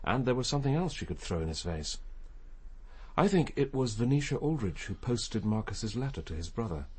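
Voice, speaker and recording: An actor playing a courteous, perceptive senior police detective in a radio drama, speaking in a formal, restrained, probing way. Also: male voice, medium pitch, sing-song, brisk, a little mellow, breathy edge. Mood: grave, restrained.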